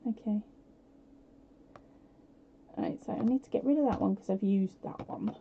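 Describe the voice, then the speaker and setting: A woman hosting a live prize quiz on her stream, chatty and self-deprecating with lots of fillers, her voice a little croaky. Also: mid-range voice, normal speed, slight background noise. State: slightly croaky voice. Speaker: podcast host running a live prize quiz. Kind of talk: casual live-stream chat. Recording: dull lo-fi home stream audio.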